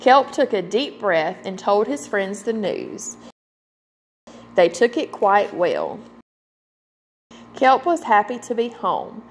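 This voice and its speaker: Woman, children's read-aloud narration, slow pace, mid pitch, expressive, noticeable background noise. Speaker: children's storybook reader.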